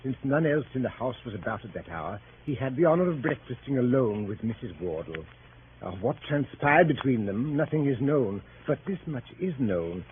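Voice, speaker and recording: A man's voice: mid-range voice, phone-quality sound, lightly acted. A man narrating a 1930s radio drama from a script in a formal, literary manner. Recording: thin, noisy 1930s radio broadcast.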